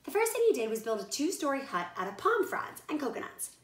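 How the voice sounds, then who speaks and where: A woman reading a children's storybook aloud in animated narration. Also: sits high, fast talker. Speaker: storybook reader. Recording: roomy echo.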